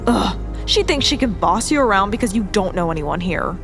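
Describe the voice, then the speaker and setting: Actress as a lively, indignant loyal friend. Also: female voice, medium pitch, brisk, lightly acted. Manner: emphatic and outspoken. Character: indignant, fiercely loyal young female friend. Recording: music clearly under the voice.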